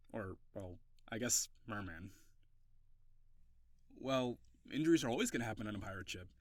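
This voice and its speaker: A man's voice: medium pitch, a bit bright, dry recording, studio quality. A man acting a young runaway nobleman turned pirate in an audio roleplay, playful and fond, with teasing, easily flustered protests. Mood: reassuring. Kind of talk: intimate audio roleplay acting, one side of a dialogue.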